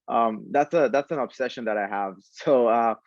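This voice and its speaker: A man giving conversational answers as a podcast guest, speaking in a halting way with frequent pauses. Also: medium pitch, no echo, dull audio. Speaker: podcast guest, tech entrepreneur.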